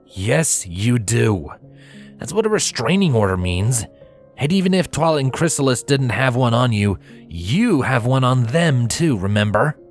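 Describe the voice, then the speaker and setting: Man acting a gentle, weary stallion, expressive. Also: medium pitch, a little slow, faint music behind, pitched above the performer's own voice. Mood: weary, firm. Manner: soft-spoken, sighing, earnest. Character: gentle but firm adult male pony, weary husband.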